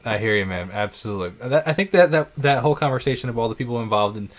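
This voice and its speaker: A man's voice: mid-range voice, fast. A young man from New York hosting a casual interview, telling stories energetically with lots of fillers. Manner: energetic, animated storytelling with many fillers. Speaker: interview host.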